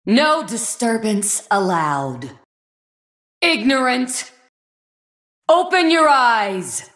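A woman voicing a serene, ageless celestial guardian in a fantasy game, making solemn, lofty declarations in a stately, measured manner. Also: high voice, fairly bright tone, reverberant, heavily acted. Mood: commanding. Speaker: game character voice. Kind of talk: scripted fantasy game voice lines.

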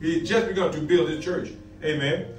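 A man's voice: a little high, fairly even intonation, fairly quick. Middle-aged man, pastor, sermon delivery, repeated phrases and amens, distant microphone, music behind. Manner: repetitive call-and-answer questions, punctuated with amens. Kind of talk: sermon, preaching with scripture readings. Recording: roomy, distant mic, faint music behind.

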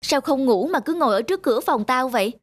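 A woman voicing a kind, anxious young female dog adopter in a cartoon, with an expressive, earnest delivery. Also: sits high, fast. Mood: concerned. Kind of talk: animated cartoon dubbing.

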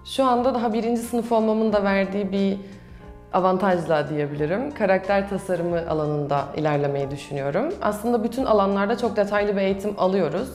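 A woman's voice: mid-range voice, fairly quick, a little mellow. A young adult woman, a student answering questions in a promotional interview. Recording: roomy echo with music behind. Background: background music.

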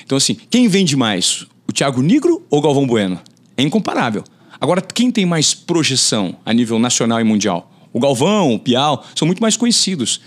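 A man in his forties, a journalist guest on a podcast, talking in an animated way and acting out quotes in a raised voice, recorded in a studio on a boom-arm mic. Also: fairly quick. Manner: animated, gestures a lot, acts out quotes in a raised voice. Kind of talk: conversational interview. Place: podcast studio with brick wall.

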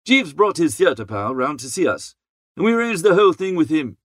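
A man narrates a comic story with very animated intonation and a fairly high voice. His delivery is chatty and wry, like an idle gentleman telling an anecdote. The recording is close and dry. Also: fairly quick, dry recording. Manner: chatty, wry upper-class idler's phrasing. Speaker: audiobook narrator. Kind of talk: scripted first-person comic story narration.

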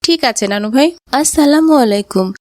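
A woman's voice: a little high, expressive, moderate pace, dark tone, no echo, close to an everyday voice. A woman voicing an earnest, polite young grandchild in a cartoon moral story.

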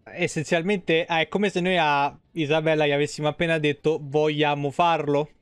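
A man's voice: a little high, flat delivery, normal speed, clean tone, no echo. A man, giving casual, colloquial, joking live game commentary. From Italy. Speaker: game streamer. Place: small indoor streaming room.

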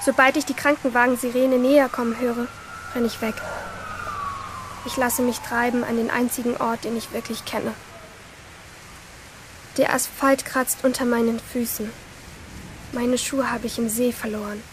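A woman's voice: fairly high pitch, flat delivery, normal speed, long pauses, a little hiss or hum, natural voice, low-quality recording. An actress playing an anguished teenage girl in a radio play, narrating her inner thoughts in short, intense phrases. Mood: shaken, subdued. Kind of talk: radio play interior monologue. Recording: music behind the voice.

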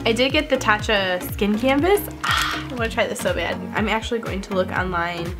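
A woman's voice: mid-range voice, a little fast, music in the background. A woman in her twenties, a beauty vlogger chatting casually to the camera in a house hallway, her voice scratchy and stuffy from a cold, laughing often. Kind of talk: casual haul vlog. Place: upstairs hallway of a house, indoors. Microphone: camera-mounted mic, seated close to the camera.

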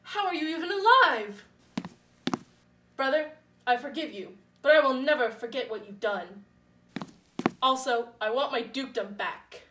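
Commanding puppet-show lord, young female performer, high and declamatory, amateur, recorded roomy and off-mic. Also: lively intonation, a little slow, long pauses, background noise. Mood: emotional. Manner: theatrical, declamatory. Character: commanding, forgiving sorcerer and grieving king, sock puppets. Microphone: phone camera at a distance. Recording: roomy, distant phone camera sound.

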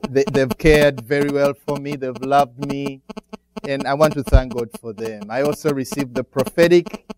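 A middle-aged man from Uganda with a Ugandan accent, speaking as a guest pastor to a church congregation, pausing often as he preaches. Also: mid-range voice, steady tone, poor audio. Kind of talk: church greeting and sermon.

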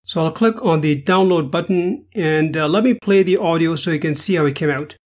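Male tutorial narrator, high-pitched voice, step-by-step instructional delivery for screen-capture walkthroughs. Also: brisk. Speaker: software tutorial presenter.

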